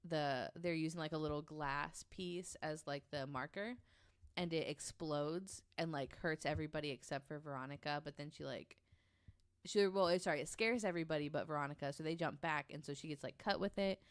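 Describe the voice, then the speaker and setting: A woman's voice: deep voice, a little fast, clear, resonant tone, no room sound, clean studio sound. An American woman on a podcast panel, telling a story in a casual, rambling, self-correcting way.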